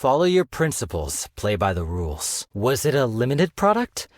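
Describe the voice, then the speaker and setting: Slick sly stockbroker dude, polite but kinda menacing, bouncy lively pitch. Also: male voice, moderate pace, dry recording, studio quality. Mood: suave. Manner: suave, polite yet menacing, business jargon. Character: smooth scheming young male stockbroker, businesslike, sly. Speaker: game character voice lines. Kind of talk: studio game voice acting. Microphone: close studio mic.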